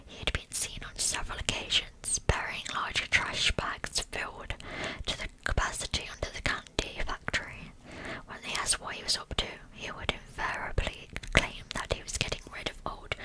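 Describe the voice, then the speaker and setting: Woman whispering true crime ASMR. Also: rough recording. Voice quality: breathy unvoiced whisper.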